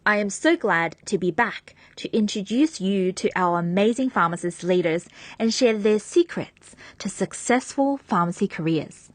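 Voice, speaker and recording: A woman's voice: medium pitch, lively intonation, moderate pace, few pauses, no room sound. A woman hosting a podcast, reading an upbeat introduction and asking her guest questions. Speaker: podcast host.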